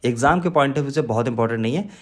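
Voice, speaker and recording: A man's voice: mid-range voice, fast talker. Man lecturing with a heavy cold. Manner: frequent check words like "right?" and "okay?". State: sore throat and heavy cold. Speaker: exam-prep teacher. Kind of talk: informal lecture on current affairs.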